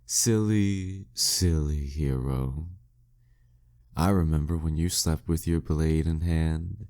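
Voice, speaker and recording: A man's voice: extremely low pitch, mellow, heavily acted. A man playing a flirty, dominant demon king villain in an intimate audio roleplay, teasing and smug in manner. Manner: teasing, smug, slow deliberate delivery.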